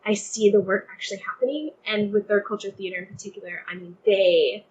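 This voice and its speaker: A woman's voice: mid-range voice, a little fast, a little mellow, highs cut off. A young woman from Texas speaking earnestly and conversationally as an interview guest, often saying "like" and "you know". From Texas, United States.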